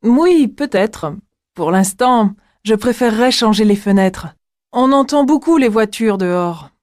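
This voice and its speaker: A woman's voice: a little high, lively intonation, a little slow, a little mellow, no echo, clean studio sound. A woman playing a polite young woman in a scripted language-exam dialogue.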